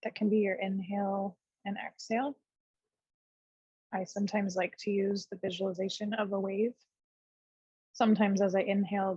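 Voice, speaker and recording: A woman with a husky, breathy voice leads a meditation as a yoga teacher. She speaks gently in short, unhurried phrases with space between them. The recording is dry and a little dull. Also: medium pitch, no echo. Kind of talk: guided meditation, unscripted class instruction.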